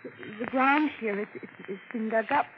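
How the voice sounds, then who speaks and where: An actress playing a frightened, distraught wife in a 1940s radio drama, with a halting, breathless, stammering delivery. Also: female voice, a little high, close to an everyday voice. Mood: shaken, accusing. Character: frightened, distraught young wife suspecting murder. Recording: noisy, muffled vintage radio recording.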